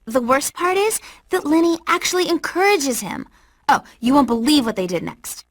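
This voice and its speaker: High, exasperated young fish. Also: female voice, sing-song, moderate pace, background noise. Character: exasperated, caring young female fish confidante. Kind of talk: animated video game confessional.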